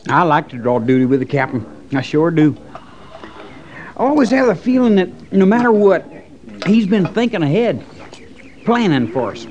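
An actor playing a loyal, plain-spoken young American cavalry trooper with folksy rural speech, in an old radio western with dull sound. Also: male voice, on the high side, very animated, unhurried, pauses often, a little mellow. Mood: admiring, thoughtful.

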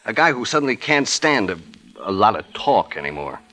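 Deep-voiced, weary New cop. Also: male voice, sing-song, a little fast, pushed and bright. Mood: brooding. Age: 30s. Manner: clipped, insinuating. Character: weary, suspicious 37-year-old New York police detective. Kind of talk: 1950s hardboiled radio acting. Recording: old radio drama recording.

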